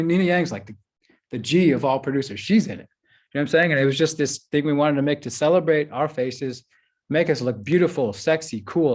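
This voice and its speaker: A man's voice: medium pitch, darkish tone. Chatty man on a panel. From United States. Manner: loose and chatty, full of "like" and "you know what I'm saying". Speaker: filmmaker, panelist. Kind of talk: casual panel discussion.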